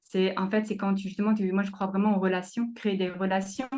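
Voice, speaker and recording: Woman, slight Canadian French accent, creative coach, relaxed hesitant interview talk. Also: medium pitch, quick pace. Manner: hesitant, many fillers and restarts. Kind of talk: casual conversational interview.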